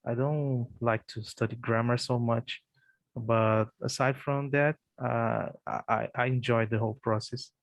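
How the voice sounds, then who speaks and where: A Brazilian man, a native Portuguese speaker, speaks English with a Portuguese accent in a casual practice conversation. He talks slowly and hesitantly, full of fillers and restarts. The sound is dry and close. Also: mid-range voice, fairly even intonation, gravelly, no echo, highs cut off. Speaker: English learner.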